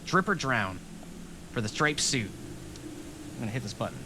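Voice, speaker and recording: A man's voice: mid-range voice, big pitch swings, normal speed, noisy background. A man with a Rhode Island accent, a game developer chatting casually on a live stream, rambling with lots of fillers and laughs.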